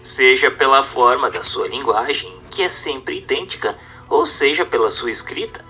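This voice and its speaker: A man reads a book as scripted narration, in a formal, didactic manner with measured emphasis. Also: medium pitch, lively intonation, bright, forceful tone, soft background music. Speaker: audiobook narrator.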